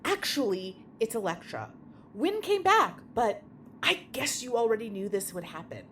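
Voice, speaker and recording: A woman's voice: a little high, very animated, moderate pace, a bit bright, a little hiss or hum. An actress playing a troubled, secretive young woman, hesitant and emotional, in a naturalistic audio drama. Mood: angry, anguished. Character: anguished, secretive young woman confronting a friend.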